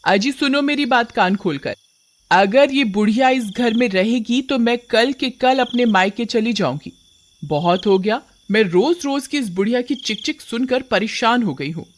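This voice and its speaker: Man as a high-pitched nagging young wife. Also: lively intonation, normal speed, a little hiss or hum. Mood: furious, fed up. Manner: nagging, complaining. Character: shrill, quarrelsome young daughter-in-law, scornful and self-centred.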